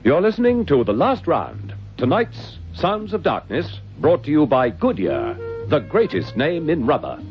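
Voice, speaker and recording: A man's voice: a little high, wildly swooping pitch, a little slow. A man making a dramatic sponsor announcement as an old-time radio announcer. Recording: muffled old radio recording. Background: loud music.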